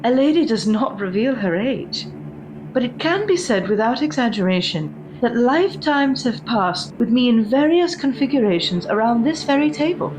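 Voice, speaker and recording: A woman playing a vain, obsessive porcelain doll in a gothic horror audio drama, with formal, refined diction and deliberate dramatic pauses. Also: a little high, lively intonation, a little mellow, slight background noise, close to an everyday voice, rough recording. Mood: prim, formal. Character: prim, vain, obsessive old porcelain doll, genteel lady.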